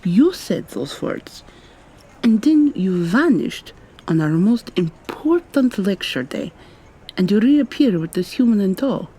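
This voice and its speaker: A woman playing an articulate, probing young female vampire student in an ASMR roleplay, soft-spoken and questioning, with noise in the recording. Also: medium pitch, big pitch swings, slow pace, breathy edge, exaggerated voice, poor audio. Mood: probing, earnest. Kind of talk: ASMR roleplay, intimate conversational acting.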